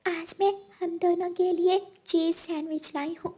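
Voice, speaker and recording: A woman's voice: very high-pitched, flat delivery, measured pace, dark tone, voice pushed up. A woman voicing a sweet, cheerful little schoolgirl bird in a cartoon, childlike and sing-song. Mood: friendly.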